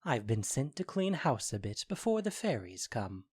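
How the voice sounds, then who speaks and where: A man playing a mischievous fairy spirit of the night in an audio drama, with deliberate, theatrical storytelling. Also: mid-range voice, sing-song, a little mellow, slightly rough, dry recording, studio quality. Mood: playful, restless. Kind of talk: audio drama verse monologue in modern English.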